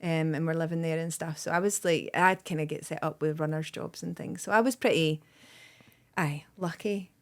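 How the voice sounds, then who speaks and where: A woman's voice: deep voice, steady tone, moderate pace. Scottish woman, 30s, rambly podcast guest, says like a lot. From Scotland. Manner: rambling, lots of "like" and "um", bursts of laughter. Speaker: guest comedian. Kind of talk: casual podcast chat.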